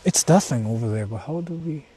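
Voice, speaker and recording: A man's voice: mid-range voice, lively intonation, brisk, dark tone, slightly rough. A man chatting casually on a live stream outdoors, thinking aloud and repeating himself with exclamations and casual swearing. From Japan. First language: Japanese. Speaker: livestreamer host exploring on foot. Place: outdoors, walking in the countryside. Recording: noisy outdoor live-stream, ambient vehicle sounds.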